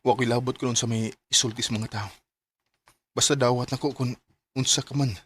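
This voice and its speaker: A man's voice: medium pitch, fairly even intonation, brisk, fairly bright tone, breathy edge. Earnest young male suitor. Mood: earnest, tender. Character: devoted young former sweetheart, earnest and gentle suitor. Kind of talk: Bisaya radio drama acting. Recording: faint music behind the dialogue.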